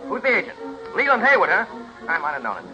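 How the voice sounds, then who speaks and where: Brash, bossy male movie mogul, 1930s radio comedy, rapid-fire and blustering, highish voice. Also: wildly swooping pitch. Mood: outraged. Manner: pompous, blustering, barking orders. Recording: dull 1930s radio recording with music.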